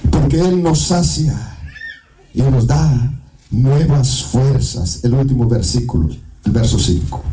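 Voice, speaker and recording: A man from Guatemala with a Guatemalan accent preaching a fervent evangelical sermon in church, often shouting and half-singing his phrases. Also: medium pitch, expressive, takes it slowly. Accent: Guatemalan Spanish. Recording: distant mic with music behind.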